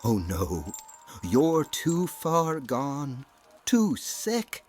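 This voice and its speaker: An actor playing a cold, gloating regime villain in an audio drama, speaking with mock-polite, deliberate menace. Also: male voice, medium pitch, wildly swooping pitch, darkish tone, faint music behind, full character voice. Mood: sinister control.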